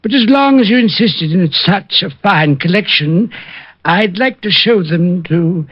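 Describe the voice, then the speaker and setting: A prim, deferential manservant in an old radio comedy-drama. His voice is high and husky, bashful and hesitant, on a dry, dull vintage recording. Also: animated, a little slow, no room sound.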